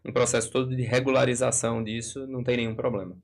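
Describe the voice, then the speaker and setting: A man's voice: medium pitch, flat delivery, quick pace, vocal fry. A man with a Brazilian accent explaining things didactically in a live discussion, working through hypothetical examples.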